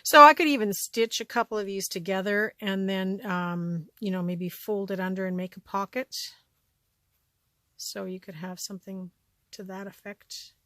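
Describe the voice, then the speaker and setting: A woman with a scratchy voice chatting casually as she shows off a thrift haul, often trailing off into quiet asides. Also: medium pitch, moderate pace, dry recording. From Canada. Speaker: vlogger showing a thrift haul. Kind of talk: casual show-and-tell chat.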